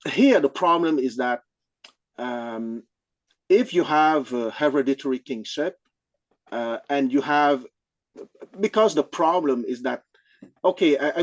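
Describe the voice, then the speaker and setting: A man who is a non-native English speaker, explaining things in an informal scholarly discussion, haltingly and often checking with "okay?". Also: mid-range voice, dry recording, highs cut off. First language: not English. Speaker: study group participant.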